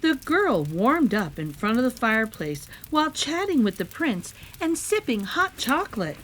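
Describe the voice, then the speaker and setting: A woman's voice: fairly high pitch, normal speed, slight background noise, low-quality recording. A woman narrating a children's story expressively, as a read-aloud host with a playful manner. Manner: animated, with playful asides.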